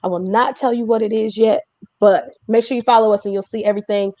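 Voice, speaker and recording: A woman's voice: mid-range voice, steady tone, fast, dark tone, dry recording. A woman hosting a casual livestream chat, talking in an informal, chatty way with joking asides. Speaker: host of a music community livestream.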